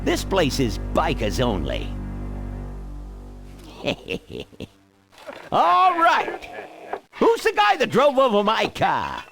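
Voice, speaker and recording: A man playing a feisty, crusty old former biker tycoon in a video game, with a gravelly voice. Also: sits high, huge pitch swings, very slow, pauses often, a bit bright, exaggerated voice. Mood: gruff, amused. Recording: music playing behind the voice.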